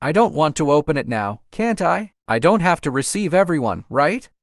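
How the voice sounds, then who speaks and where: A man playing a gentle, teasing young coffee shop owner in a romance comic dub. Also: on the high side, very animated, moderate pace, dry recording, clean studio sound. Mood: polite, firm.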